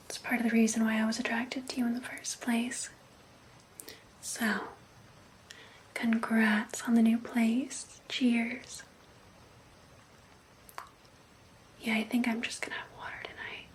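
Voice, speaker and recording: A woman playing an obsessed, jealous vampire ex-girlfriend in an ASMR roleplay, speaking in an intimate, breathy, coaxing whisper into a close microphone. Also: on the high side, close to an everyday voice, rough recording. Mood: jealous, veiled. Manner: slow, intimate, passive-aggressive, coaxing.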